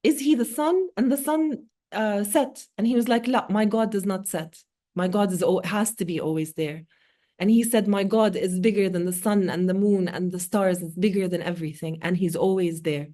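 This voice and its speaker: A woman in her forties, a native Arabic speaker, talking earnestly in an interview with measured pauses and fillers. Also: mid-range voice, dark tone. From born in Oman, Palestinian. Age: 40s. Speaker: guest speaker, multimedia artist. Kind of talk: reflective interview talk.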